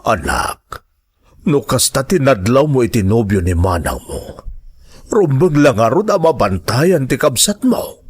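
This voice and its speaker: A man playing a grieving, gentle middle-aged father in a radio drama, with a halting, earnest way of speaking. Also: medium pitch, very animated, breathy edge. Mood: troubled, concerned.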